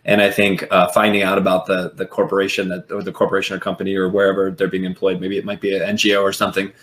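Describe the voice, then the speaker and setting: A man's voice: deep voice, completely flat delivery, very fast, fairly bright tone. A man on a panel video call, thinking aloud philosophically with many qualifications. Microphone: video call from a room. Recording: echoey room on video call.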